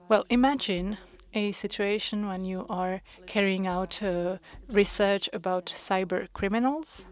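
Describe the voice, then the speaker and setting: Slow, measured woman interpreting a panel. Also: medium pitch. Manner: measured, with frequent hesitations. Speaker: conference interpreter. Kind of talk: simultaneous interpretation of a panel discussion.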